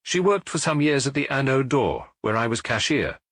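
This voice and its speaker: Man acting a contemptuous landlady, raised pitch, curt, grudging, clipped retorts, husky, audiobook character. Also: very animated, moderate pace, a bit bright, no echo, clean studio sound. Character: curt, indignant boarding-house proprietress, reluctant and contemptuous.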